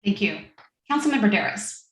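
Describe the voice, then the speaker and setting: A woman's voice: medium pitch, big pitch swings, brisk, a bit bright, reverberant. A woman serving as mayor, chairing a public council meeting in an informal way with frequent fillers and restarts.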